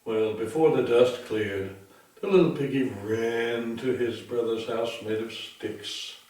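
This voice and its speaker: A man telling a darkly comic bedtime story in a deliberate, theatrical, mock-sympathetic way. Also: mid-range voice, darkish tone. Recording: very echoey room, distant microphone.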